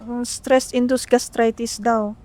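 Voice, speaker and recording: A woman's voice: fairly high pitch, level tone, slow pace, mellow. A woman with a Filipino accent playing a meek, apologetic young student sister, tender and grateful, in an amateur radio drama. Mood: meek, sorry.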